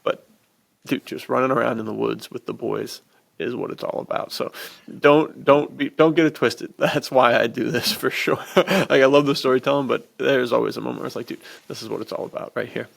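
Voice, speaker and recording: A man chatting casually and enthusiastically as a podcast host, in relaxed bro slang peppered with "dude". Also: medium pitch, a little fast, vocal fry.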